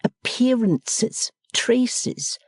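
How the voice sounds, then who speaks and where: A narrator voicing a pompous English gentleman who speaks slowly and condescendingly, as if to a deaf child. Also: medium pitch, lively intonation, slightly rough, no room sound, voice pushed up, full character voice. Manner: slow, loud, over-explaining as if to a child. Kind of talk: audiobook character voice.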